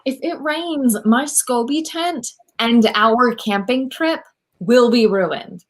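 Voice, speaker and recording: A woman's voice: on the high side, slow pace. A woman in her thirties playing a proud, dry-witted eco-inventor in a comedic radio play over a video call. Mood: worried. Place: room at home, on a video call.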